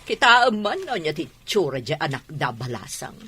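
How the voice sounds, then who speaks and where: A woman playing a haughty, fussy, expressive mother in an Ilocano audio drama. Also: sits low, moderate pace, slightly rough, soft background music, exaggerated voice. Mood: concerned. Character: haughty, expressive mother, fussy about her son's match.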